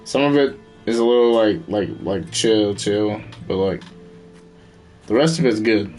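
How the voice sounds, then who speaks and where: A young man talks as a game streamer in a fairly low voice. His chat is casual and slangy, wandering and repeating itself, with faint music underneath. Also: measured pace, a little mellow. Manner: slangy, rambling, repetitive.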